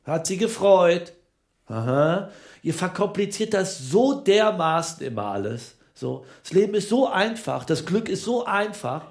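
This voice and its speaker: An actor playing a plain-minded, defensive husband in an improvised radio comedy, talking in halting, colloquial bursts. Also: male voice, sits high, sing-song, full character voice. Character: plain-minded husband who finds life simple, defensive.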